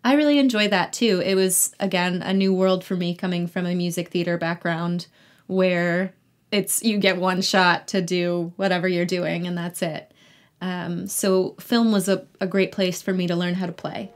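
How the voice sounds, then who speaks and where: A woman's voice: on the low side, fairly even intonation, normal speed. A young woman chatting casually as an interview guest about her own work. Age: young adult. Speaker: guest, actor and singer.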